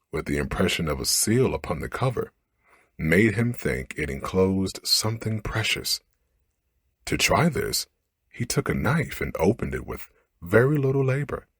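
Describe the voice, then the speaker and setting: A man's voice: low-pitched, breathy edge, dry recording. A man narrating an ASMR bedtime story, reading expressively into a close microphone.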